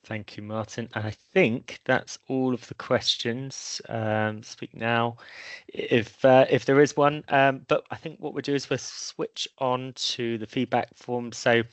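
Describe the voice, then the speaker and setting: Male host for online business webinar: average pitch, dry sound, courteous, slightly hesitant moderation. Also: steady tone, a little slow, no room sound. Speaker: webinar host relaying audience questions. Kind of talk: semi-formal webinar moderation.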